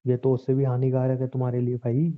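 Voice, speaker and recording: A man's voice: mid-range voice, monotone, quick pace. Exasperated young man on a tinny phone line. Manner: exasperated, sarcastic, scolding. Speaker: boyfriend on a phone call. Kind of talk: casual couple's phone chat, playful bickering. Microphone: phone call.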